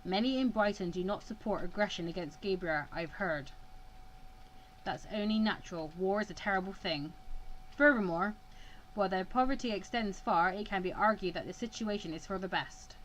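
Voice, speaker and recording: Woman doing a stern cold mentor guy from a visual novel, measured and firm, bit of background noise. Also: medium pitch, natural voice, low-quality recording. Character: stern, cold male senior mediator, the mentor.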